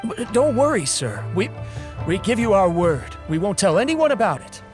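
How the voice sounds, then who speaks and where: A man's voice: sits high, big pitch swings, normal speed, faint music behind. A man playing a polite, eager, slightly nervous young retainer in a video game. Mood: nervous, reassuring. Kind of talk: English game dub acting.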